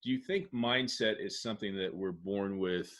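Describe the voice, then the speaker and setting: A man's voice: lowish voice, moderate pace, fairly bright tone. A man hosting a podcast interview, chatting in a friendly way and asking his guest questions. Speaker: podcast host.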